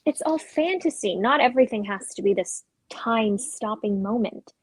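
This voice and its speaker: Practical young skeptic, played by a woman, gentle and understated, slightly high but husky, for a drama reading. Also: lively intonation, moderate pace. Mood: skeptical, wry.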